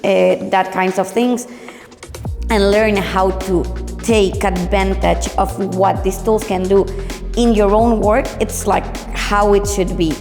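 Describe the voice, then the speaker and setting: A woman's voice: mid-range voice, fairly even intonation, slow pace. A woman giving an interview testimonial in a reverberant space. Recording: reverberant hall, faint music.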